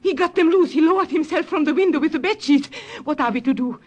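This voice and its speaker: An actress playing a gentle, worried elderly grandmother in a 1950s radio drama. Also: high-pitched, fast talker, close to an everyday voice. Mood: alarmed. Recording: dull, lo-fi old radio recording.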